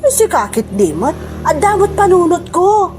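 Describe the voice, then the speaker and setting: A woman's voice: extremely high pitch, very animated, moderate pace, background noise. An actress with an Ilocano accent playing a brash, scornful woman in a comedy radio drama, snapping melodramatically. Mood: indignant. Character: brash, scornful woman quitting her job for politics.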